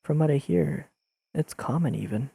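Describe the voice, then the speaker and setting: A man playing an earnest, awkwardly analytical young best friend in an intimate audio roleplay, speaking haltingly and carefully to the listener. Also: mid-range voice, big pitch swings, gravelly, breathy edge, no room sound, heavily acted. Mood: worried, pleading. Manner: halting, careful, softly pleading, slightly formal wording.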